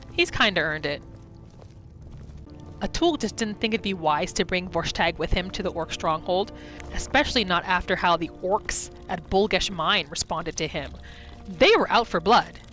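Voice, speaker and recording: Female roleplay gamer, medium pitch, third-person storytelling about her character, game music underneath. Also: fairly bright tone. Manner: speaks a character's thoughts as storytelling. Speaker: gaming roleplay host. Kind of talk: improvised third-person narration of video game play. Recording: music behind the voice.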